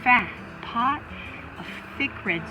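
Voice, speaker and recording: A woman reads a picture book aloud for young children in a fairly high, bright voice. She stretches phrases and leans into key words with dramatic emphasis. Soft music plays underneath. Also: animated, slow pace, rough recording. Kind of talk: expressive scripted read-aloud for young children.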